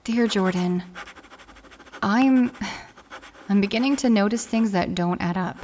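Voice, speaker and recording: A woman's voice: medium pitch, unhurried, darkish tone, slight background noise, natural voice. A woman playing a grieving, bewildered partner seeking answers in an audio drama, with an uneasy, questioning delivery. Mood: confused, uneasy.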